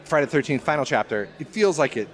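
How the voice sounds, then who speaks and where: Animated-talking man joking in casual horror-film chat. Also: fairly high pitch, quick pace. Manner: emphatic, slangy, joking. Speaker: podcast guest.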